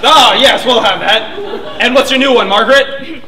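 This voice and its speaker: A man's voice: extremely high pitch, moderate pace, a crowd in the background. A man playing a suave, sardonic airline pilot with a mock-formal, drily sarcastic manner in a stage comedy. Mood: dry teasing. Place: theatre stage recorded from the audience. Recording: distant, noisy recording of echoey stage performance.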